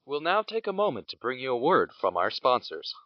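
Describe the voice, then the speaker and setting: A man's voice: mid-range voice, sing-song, a little fast, lightly acted. A man playing a hammy announcer in a mock radio commercial within an audio drama. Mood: salesy. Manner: hammy, salesy, showy rises. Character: overblown male commercial announcer. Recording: lo-fi recording with guitar music.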